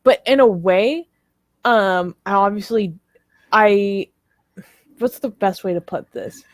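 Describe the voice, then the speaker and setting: A woman with an average-pitched voice chats as co-host of a live discussion show. She is informal, opinionated and emphatic, and the sound is very dry with little room in it. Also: slow, no echo. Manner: animated, informal, emphatic, laughs readily.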